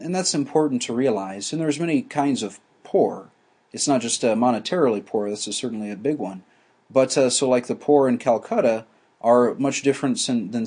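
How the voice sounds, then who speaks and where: A man teaching a class, explaining ideas slowly with frequent restarts and fillers. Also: mid-range voice, dark tone, breathy edge. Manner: halting, many restarts and fillers.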